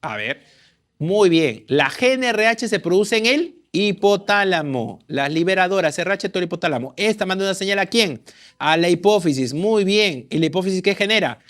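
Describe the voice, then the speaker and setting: Man, high voice, exam-prep tutor who quizzes, then answers. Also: lively intonation, normal speed, a bit bright. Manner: quizzing, answers own questions. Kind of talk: lecturing through exam questions.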